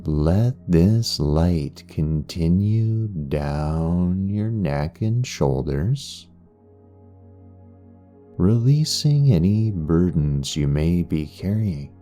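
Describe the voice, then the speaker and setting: Male meditation guide: deep, extremely slow and soothing, with long pauses between phrases. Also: expressive, warm tone. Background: background music.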